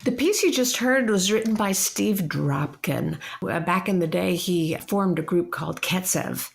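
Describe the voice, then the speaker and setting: Woman, from Texas, podcast co-host, gravelly creak, lowish pitch, casual music talk. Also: a little slow.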